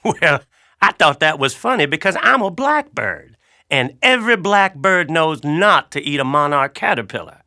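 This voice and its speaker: A man voicing a friendly, wry blackbird who tells a children's story in a folksy, chatty, expressive way. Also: on the high side, moderate pace, exaggerated voice. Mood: wry storytelling. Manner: folksy, expressive, addresses listener directly. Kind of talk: animated children's audiobook storytelling.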